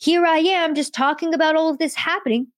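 A woman hosting a political commentary podcast, delivering an indignant, rapid-fire monologue. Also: very high-pitched, fairly even intonation, no echo, studio quality. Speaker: podcast host.

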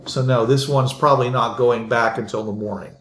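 A man running a tabletop game as game master, talking in a rambling, halting way with many restarts. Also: mid-range voice, fairly even intonation, a bit bright, echoey room.

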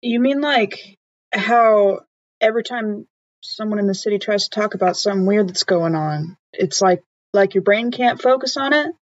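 Female tabletop player, casual in-game chat, medium pitch, slightly muffled sound. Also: normal speed, no echo.

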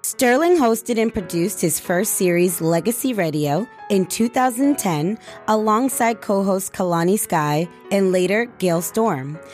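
A woman's voice: mid-range voice, darkish tone. A woman reading scripted documentary narration as the narrator of a radio retrospective. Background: music.

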